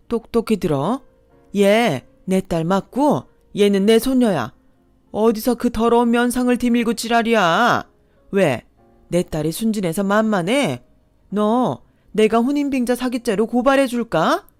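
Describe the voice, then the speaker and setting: A fierce, protective older mother who scolds in a loud, forceful voice, as a woman acts her out. The sound is dry and close, with faint music in the background. This is a storybook mother. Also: on the high side, no room sound, pitched above the performer's own voice, natural voice.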